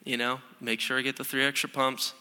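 Bright, lively young pastor in a reverberant hall. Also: male voice, mid-range voice, brisk, clean tone. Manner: conversational, energetic, with repeated restarts. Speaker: student pastor. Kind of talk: sermon. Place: church sanctuary.

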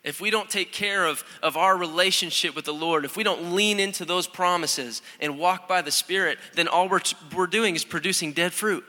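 A young male pastor with a very bright, forward voice, speaking a touch higher than most men. He preaches in a loose, conversational way, stumbling and restarting as he builds energy. The long reverb of a church hall trails behind him. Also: normal speed, few pauses. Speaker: student pastor. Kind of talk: sermon. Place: church sanctuary.

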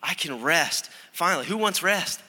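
A young man, a student pastor, preaching a conversational, energetic sermon with frequent restarts, recorded in a church sanctuary. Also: fairly high pitch, moderate pace, husky. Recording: long hall reverb.

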